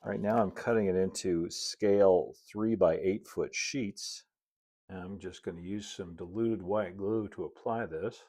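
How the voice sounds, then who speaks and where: Very deep-voiced, easygoing middle-aged man. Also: fairly even intonation, measured pace, dark tone. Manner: easygoing, folksy, chatty asides. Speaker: model railroad builder and video host. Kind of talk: casual hobby tutorial narration.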